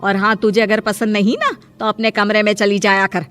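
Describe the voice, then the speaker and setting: A woman's voice: mid-range voice, level tone, fairly bright tone, clear, resonant tone, soft background music, lightly acted. A woman voicing a stern, sarcastic older mother-in-law in a cartoon story, scolding and bossy about her household. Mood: territorial. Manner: scolding, bossy, drawn-out address.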